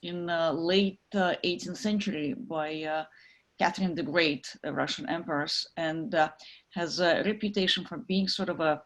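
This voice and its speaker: A woman's voice: lowish voice, fairly even intonation, moderate pace. Woman, middle-aged, from Ukraine, hesitant, interview guest, conversational. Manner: hesitant, many fillers and restarts. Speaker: guest, tech executive.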